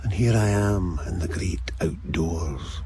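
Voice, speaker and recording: A man's voice: low voice, slow, dark tone, a little hiss or hum. An actor playing a sardonic, cocky older Scottish man who is someone's unconscious mind, bantering sarcastically in a radio drama.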